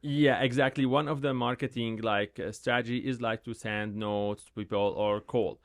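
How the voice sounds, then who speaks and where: A gravelly-voiced man in his thirties whose first language is Arabic speaks in halting, restarting English. He picks up energy when telling a story. His pitch sits in the middle range. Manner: halting, repeats words, animated when telling stories. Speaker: real estate agent, guest. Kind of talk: casual interview talk.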